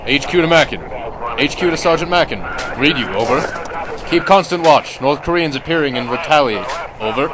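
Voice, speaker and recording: High-pitched male actor as an earnest Marine radioman, wartime radio drama, very noisy battlefield background. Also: lively intonation, a little fast, natural voice. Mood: clipped, calm. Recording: battle noise loud over the voice.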